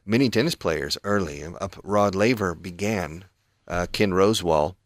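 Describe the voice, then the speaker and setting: A man's voice: deep voice, normal speed, no room sound. A middle-aged man hosting a radio English lesson, patiently explaining phrases and switching between two languages. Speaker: English teacher and radio host.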